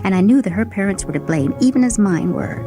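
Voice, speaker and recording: A woman's voice: mid-range voice, expressive, quick pace, mellow. Theatrical woman storyteller over music. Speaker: story narrator. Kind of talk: dramatic first-person short story narration.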